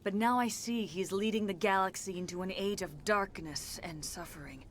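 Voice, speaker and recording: An actress playing a centuries-old alien disciple in a video game, speaking formally with grave, measured phrasing. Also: female voice, mid-range voice, a little hiss or hum, natural voice. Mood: grave, regretful. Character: centuries-old female alien disciple, solemn, remorseful and serene. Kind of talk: video game dramatic acting.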